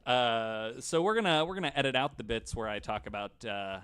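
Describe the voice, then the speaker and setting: A man's voice: medium pitch, expressive, normal speed, fairly bright tone, clean tone. A man hosting a podcast in casual, rambling chat, often stammering and repeating words. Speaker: podcast host.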